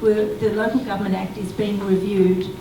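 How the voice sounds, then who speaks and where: A woman's voice: mid-range voice, level tone, normal speed. Woman answering at a public forum. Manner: explanatory, restarts mid-sentence. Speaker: panelist for a residents' group. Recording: echoey hall, distant mic, background noise.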